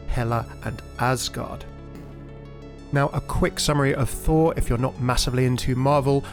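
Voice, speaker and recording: A man narrates as the host of a gaming video. His pitch is average and his style informal and explanatory, recorded close with light music behind him.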